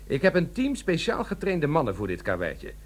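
Radio play role: insistent military police sergeant, slyly courteous, lively pitch. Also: male voice, normal speed. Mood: mock-polite, officious. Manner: mock-polite, officious. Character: sly, insistent military police sergeant investigating stock theft. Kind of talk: Dutch radio play acting.

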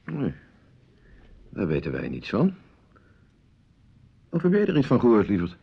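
An actor in a Dutch radio play, playing a grumbling but hospitable village doctor who talks hesitantly and informally. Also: male voice, lowish voice, wildly swooping pitch, unhurried, gravelly, breathy edge, exaggerated voice. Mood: puzzled. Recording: dull lo-fi radio play recording.

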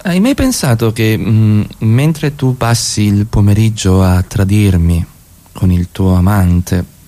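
An actor playing a polite middle-class husband who keeps quietly probing his wife in a radio play. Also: fairly low pitch. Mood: probing, curious. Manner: casual politeness, measured questioning. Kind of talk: radio theatre dialogue.

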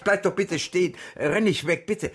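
An actor playing an elderly, eccentric widower who longs for death, wistful and then pleading, in a radio drama. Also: male voice, sits high, normal speed, background noise, lightly acted. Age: older.

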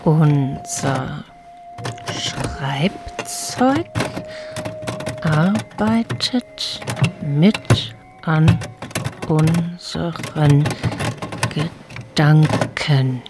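A woman giving a scripted reading of a quotation in a halting, deliberate way. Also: low-pitched. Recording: clear clicking noise behind.

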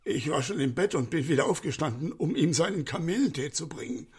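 An older man playing a distraught, deferential elderly manservant in a radio crime comedy, speaking in an agitated, halting way. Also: a little high, animated, a little mellow, breathy edge. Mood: bewildered, sorrowful.

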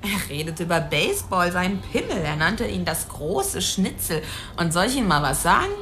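A woman's voice: on the low side, sing-song, moderate pace, fairly bright tone, a little hiss or hum. An actress playing a brash young call girl in a radio play, streetwise and crude, talking in offhand slang. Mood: crude storytelling. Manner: slangy, drawling, offhand frankness. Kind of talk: dramatic radio play dialogue.

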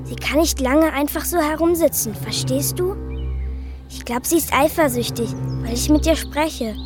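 An actress playing a strange, secretive little girl who confides in a hushed, earnest way, in a radio drama. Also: high-pitched, moderate pace, music in the background, lightly acted. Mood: confiding.

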